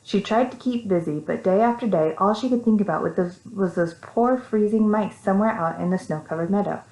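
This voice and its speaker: A woman with an American accent reading a story to young children, animated, with simple casual asides. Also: mid-range voice, a little fast. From Texas, United States. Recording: echoey room.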